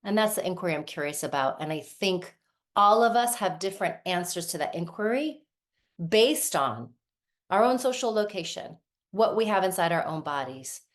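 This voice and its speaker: A woman with a low, grounded voice leads a reflective workshop on the body and healing. She is in her forties, from Lebanon and raised in the United States, and she speaks thoughtfully, often pausing to check in with "right?". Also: big pitch swings. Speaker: somatic movement practitioner leading a workshop.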